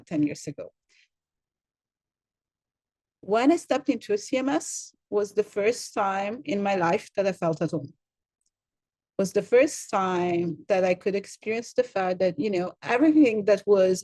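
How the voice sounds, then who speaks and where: A middle-aged woman from Italy with an Italian accent, giving a welcome talk as a program director and filling her pauses with frequent "uh"s as she searches for words. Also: mid-range voice, darkish tone, dry recording. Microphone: computer mic on a video call.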